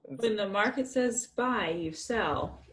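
A woman in her thirties making hesitant, deadpan jokes on a call. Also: mid-range voice, slow pace. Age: 30s.

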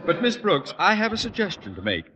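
Pompous, blustering school principal. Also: male voice, high voice, huge pitch swings, a little fast, bright, forceful tone, faint music behind, heavily acted. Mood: sly sarcasm. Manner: pompous, florid, sarcastic. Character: blustering, pompous middle-aged male high school principal. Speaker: comedy actor. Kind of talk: old-time radio sitcom acting. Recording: noisy old radio recording.

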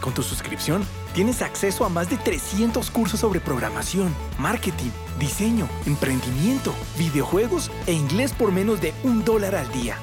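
An announcer delivers a scripted podcast promo with a very lively, varied intonation. Music plays clearly behind the voice. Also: medium pitch, normal speed.

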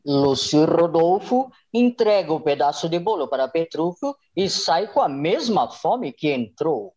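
A woman's voice: sits low, big pitch swings, measured pace, a little mellow, breathy edge, soft background music. A woman narrating a radio soap as a dramatic scripted announcer.

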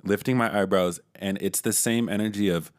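A man's voice: on the low side, normal speed. A young man co-hosting a podcast in casual chat, dry and joking, often laughing. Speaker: podcast co-host.

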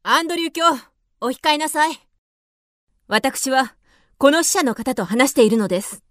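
A woman's voice: high-pitched, animated, moderate pace, no room sound, studio quality. A woman acting in a radio drama as a haughty young noblewoman in mourning, easily smitten, with formal, imperious speech.

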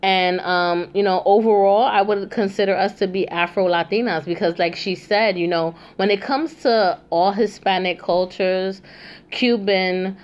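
A woman's voice: medium pitch, fairly even intonation, measured pace, lo-fi sound. A young woman hosting a casual chat, talking loosely with many asides and fillers. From New York. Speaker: host.

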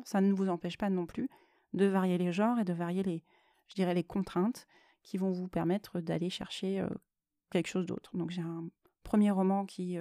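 A woman's voice: lowish voice, mellow, no room sound, clean studio sound. A woman hosting a solo podcast, speaking unscripted in a reflective, explanatory way and often correcting herself as she goes. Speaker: podcast host and novelist.

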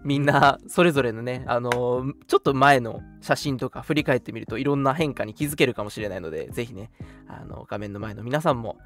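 A man in his twenties co-hosting a casual radio chat, talkative and quick to agree and react. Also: medium pitch, a bit bright, faint music behind. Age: 20s. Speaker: radio show co-host. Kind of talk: casual banter on a live internet radio chat.

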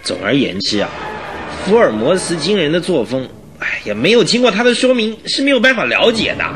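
Impatient, high-voiced police captain. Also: male voice, very animated, brisk, over music. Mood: grudging. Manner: flustered, sighing, hesitant interjections. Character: impatient, doubting male police detective captain. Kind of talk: old-time Chinese radio drama acting. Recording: faintly noisy radio drama recording.

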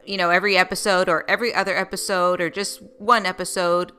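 Friendly south texas lady hosting her podcast, bright voice, pitched a bit low. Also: level tone, fast talker. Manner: friendly and welcoming. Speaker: podcast host.

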